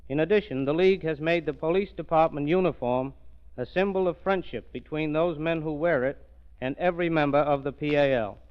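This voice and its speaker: A man speaks formally as an official guest on 1930s radio. His voice is clean, resonant and a little high, with a declamatory scripted delivery. The recording is dull with age. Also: brisk, clear, resonant tone. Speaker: police commissioner, guest speaker.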